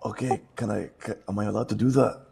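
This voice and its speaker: A man's voice: lowish voice, fairly even intonation, fast, gravelly, mellow, husky. A man teammate in a roleplay game, talking casually, hesitant at times and swearing.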